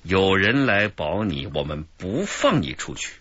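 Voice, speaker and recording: A stern middle-aged officer in a radio drama, very low and husky. He questions with slow, dry mockery, letting his pitch rise and fall. The sound is dry and lo-fi. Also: big pitch swings, a bit bright, no echo, full character voice. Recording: dull lo-fi radio drama audio.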